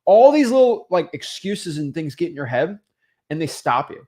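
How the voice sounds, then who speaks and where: Young male coach, high-ish voice, very lively pitch, emphatic motivational teaching. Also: moderate pace. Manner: emphatic, rhetorical questions, frequent "right?" and "okay?". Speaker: online real estate coach.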